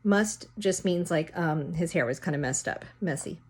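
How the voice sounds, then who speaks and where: A woman's voice: on the low side, normal speed, breathy edge. A woman reading a children's story aloud, narrating and casually explaining words as she goes.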